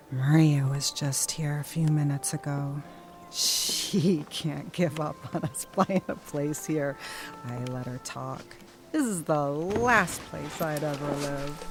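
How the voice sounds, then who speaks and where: A woman voices a tired wife with a dry, sarcastic tongue. She speaks low and slow, often in a near whisper. Music plays under the scene. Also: gravelly, heavily acted. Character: wry, weary wife on a road trip. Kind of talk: audio drama acting.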